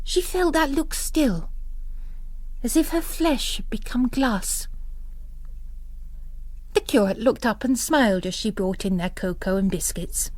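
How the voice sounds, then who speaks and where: A woman narrating literary fiction in a measured, deliberate scripted reading. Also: a little high, expressive, a little mellow. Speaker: narrator, reader.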